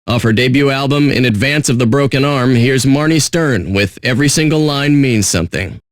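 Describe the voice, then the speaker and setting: An actor playing a surly, sarcastic radio DJ in a video game, with deadpan, contemptuous patter. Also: male voice, mid-range voice, normal speed, dry recording, clean studio sound, lightly acted. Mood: deadpan, smug.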